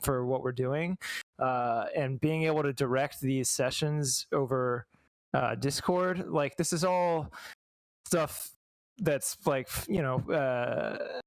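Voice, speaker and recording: A man talking casually as an interview guest, halting and thoughtful as he explains things. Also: mid-range voice, no echo. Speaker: guest, fan translator.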